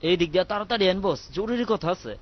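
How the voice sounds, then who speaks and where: Guy doing lazy smartass cartoon cat, bangladeshi accent, high voice, kinda dull lo-fi audio. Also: lively intonation, fast, no room sound. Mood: sly, mocking. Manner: mocking, wisecracking. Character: sly, lazy, cheeky house cat, grumbling and boastful. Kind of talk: comic cartoon dub in colloquial Bengali. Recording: dull, muffled dry audio.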